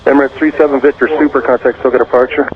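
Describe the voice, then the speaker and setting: A man's voice: medium pitch, flat delivery, very fast. A man working as an air traffic tower controller, giving clipped procedural instructions over aviation radio. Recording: narrow tinny radio transmission, faint noise.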